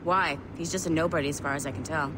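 A woman's voice: low-pitched, steady tone, fast, noisy background, natural voice. An actress playing a blunt, wry female security contractor in a video game cutscene. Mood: brisk. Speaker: game character.

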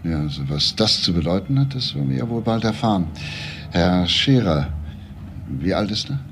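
Low-voiced, courteous police detective. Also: male voice, lively intonation, vocal fry, slight background noise. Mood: calm, measured. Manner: measured, polite, hesitant at times. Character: courteous, probing male police homicide detective. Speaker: actor. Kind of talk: German TV crime drama dialogue.

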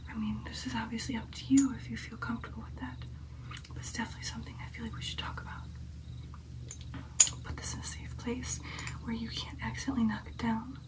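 A woman's voice: fairly high pitch, level tone, quick pace, recorded from a distance, lightly acted, rough recording. Whispering vampire girlfriend, played by a young woman. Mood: caring, attentive. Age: young adult. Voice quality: breathy, unvoiced whisper. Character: protective female vampire girlfriend, calm and reassuring. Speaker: ASMR roleplay performer. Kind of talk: ASMR whispered roleplay.

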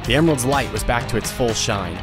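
Man narrating over loud music. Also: medium pitch, quick pace. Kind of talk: scripted narration. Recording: loud music score behind.

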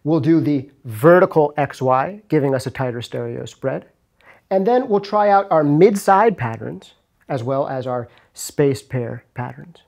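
A man's voice: a little high, animated, unhurried, creaky, a little mellow. A man in his thirties presenting an instructional video to camera in a casual, explanatory way. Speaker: audio engineering video host and instructor. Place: recording studio rooms, indoors.

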